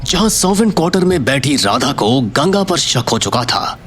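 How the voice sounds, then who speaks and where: A man's voice: on the high side, big pitch swings, moderate pace, noisy background. A man narrating a scripted audio story in a dramatic storytelling style.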